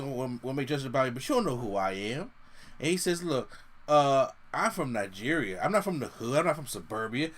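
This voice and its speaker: A man giving a casual, loose wrestling show review, talking in restarts and paraphrasing what wrestlers said. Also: a little high. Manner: animated, loose, with many restarts and quoted paraphrases.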